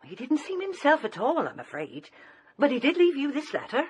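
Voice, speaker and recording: An actress playing a deferential, anxious housekeeper in a radio drama. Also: female voice, sits high, big pitch swings, fairly quick, darkish tone, a little hiss or hum. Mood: polite concern.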